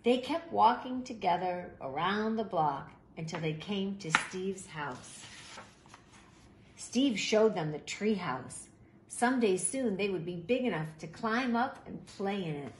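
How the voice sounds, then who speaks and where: Animated woman reading a storybook, roomy sound. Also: mid-range voice, unhurried. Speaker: storybook reader. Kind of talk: expressive read-aloud of a children's picture book. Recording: roomy echo, faint background noise.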